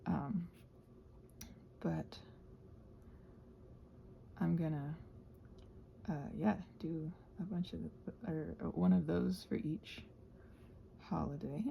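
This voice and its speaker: A woman rambling casually as a video host, thinking aloud and trailing off, her voice husky and breathy and often sinking toward a whisper. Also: low voice, fairly even intonation, very slow, long pauses, dull audio, a little hiss or hum. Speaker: video host and ASMR creator. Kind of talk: casual rambling craft chat.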